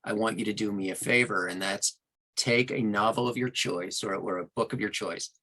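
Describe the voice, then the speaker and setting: Male audiobook narrator in a podcast interview, lowish pitch with a bright, forceful tone. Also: moderate pace, poor audio. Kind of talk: conversational interview talk.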